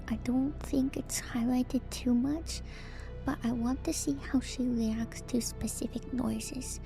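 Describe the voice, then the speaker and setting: Female ASMR streamer, breathy whisper with fry, musing and unhurried, close-miked. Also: high-pitched. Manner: hesitant, musing, unscripted. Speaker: livestreamer host. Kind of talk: whispered ASMR video game lore commentary.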